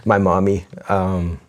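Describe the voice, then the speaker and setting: A man co-hosting a casual podcast, chatting with enthusiasm, laughing often and reading listener letters aloud. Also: sits low. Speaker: podcast co-host.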